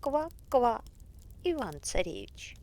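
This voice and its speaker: A woman voicing a frog princess in a bedtime storybook, with a high, croaky singsong voice. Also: very animated, slow pace, a little mellow. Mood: coaxing. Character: sweet-talking female frog princess.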